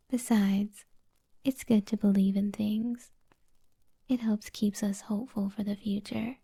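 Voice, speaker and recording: A kind witch of the woods, acted by a woman, murmuring in an intimate ASMR roleplay. The voice is very dark and soft, with a gravelly fry under the breathy whisper. The delivery stays even, soothing and gently flirty, teasing the listener. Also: medium pitch. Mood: gentle, wistful.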